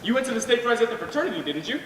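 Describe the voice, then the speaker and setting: A young man acting an earnest, smitten college boy in a high school stage play. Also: very animated, racing. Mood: brisk, teasing. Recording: echoey hall, distant mic, noisy.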